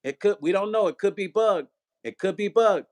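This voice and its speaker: A middle-aged man hosts a casual livestream, reading comments and calling on viewers again and again. His voice sits a bit high for a man. He sounds upbeat and chatty. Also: moderate pace, darkish tone. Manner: upbeat, urging viewers, repeating phrases. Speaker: livestream host.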